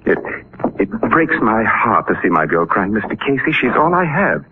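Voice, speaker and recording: An anxious, sorrowful older father in an old radio play. His voice is very low yet swings widely, formal and hesitant, through a muffled broadcast. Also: sing-song, normal speed. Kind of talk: 1940s radio drama acting. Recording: muffled, noisy 1940s radio recording.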